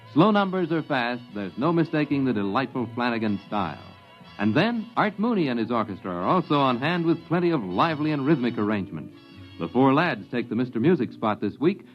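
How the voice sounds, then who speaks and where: Male promo announcer, animated pitch, upbeat 1950s radio read, muffled, faint music. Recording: dull vintage radio recording.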